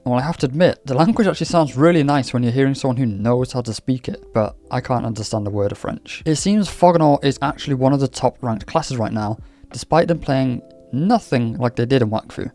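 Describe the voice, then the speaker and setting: Welsh-born guy rambling casually about a game, relaxed dark voice, faint music behind. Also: medium pitch, brisk. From Wales. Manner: chatty, candid, lightly scripted. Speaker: gaming YouTuber. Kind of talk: casual game commentary vlog. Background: faint background music.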